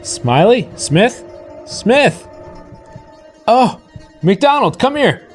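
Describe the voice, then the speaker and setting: A man playing an anxious, excitable house seller in an amateur radio play, agitated and full of questions. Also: high-pitched, extremely slow, soft background music, pitched above the performer's own voice, full character voice. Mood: alarmed.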